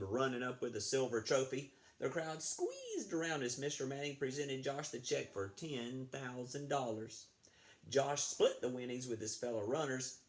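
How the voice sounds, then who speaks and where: A man reading a picture book aloud to children in an animated, chatty way, breaking off to ask them questions. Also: medium pitch. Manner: animated, chatty, asks the young listeners questions. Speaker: children's read-aloud storyteller, school librarian.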